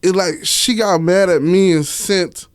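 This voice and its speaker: A man with a notably high voice talks as a guest in a casual interview. He tells his story candidly in slangy, profane speech, often circling back to repeat a phrase. The sound is dry and close, with no room echo. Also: unhurried, smooth. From Miami, United States. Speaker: interview guest, author and stage play director.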